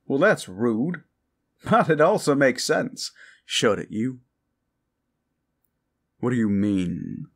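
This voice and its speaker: A man's voice: medium pitch, big pitch swings, takes it slowly, long pauses, breathy edge, exaggerated voice. A man voicing a sceptical fantasy companion with a wry, questioning manner in an improvised ASMR roleplay.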